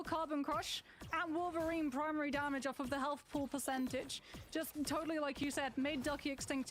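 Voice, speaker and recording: A woman giving live color commentary on an esports match, steadily breaking down the plays. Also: high-pitched.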